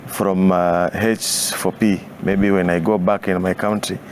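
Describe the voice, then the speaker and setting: A man from Kenya, a guest on a talk show, speaking slowly with many pauses and fillers. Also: low-pitched, flat delivery, slight background noise. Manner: hesitant, many uh fillers, soft laughs. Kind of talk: conversational interview.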